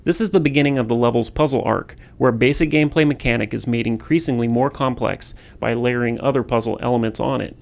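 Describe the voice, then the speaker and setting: A man's voice: mid-range voice, fairly even intonation, quick pace, creaky, few pauses. A man who develops games, reading scripted commentary on level design. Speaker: game developer.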